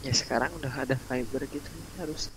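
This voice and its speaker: A man's voice: medium pitch, fairly even intonation, fast, fairly bright tone, a little hiss or hum, low-quality recording. A young man, chatting casually as a guest on a podcast. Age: young adult. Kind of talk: casual chat.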